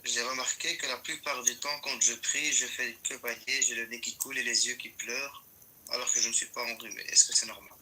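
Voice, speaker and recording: A man's voice: medium pitch, steady tone, a little fast, bright, forceful tone, breathy edge, low-quality recording. A man reading out audience questions, hesitant with fillers.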